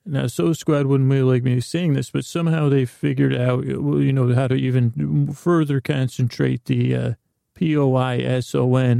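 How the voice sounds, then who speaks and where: Mellow, rambling man with a creaky voice. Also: medium pitch, dry recording, studio quality. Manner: meandering, hesitant, trailing off and circling back. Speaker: host of a bedtime sleep podcast. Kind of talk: rambling bedtime monologue.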